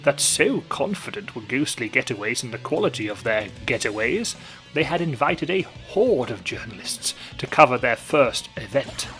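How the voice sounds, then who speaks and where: A man narrating a spooky tale in a theatrical, genteel, measured way. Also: mid-range voice, animated, fairly bright tone, low-quality recording. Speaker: storyteller host. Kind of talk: scripted narration. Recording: music behind.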